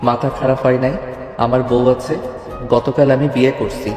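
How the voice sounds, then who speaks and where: Man as calm devout college boy, restrained, monotone delivery, audio story, echoey. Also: mid-range voice, moderate pace, natural voice. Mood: serious, firm. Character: calm, principled, devout young male college student. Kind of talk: Bengali audio story acting. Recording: echoey long reverb, music bed.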